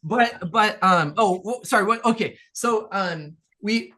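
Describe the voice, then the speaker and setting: Earnest man, slightly high voice. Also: a little slow, pushed and bright. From Peru. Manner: earnest, rambling with repeated restarts. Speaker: guest, business owner. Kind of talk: casual interview storytelling.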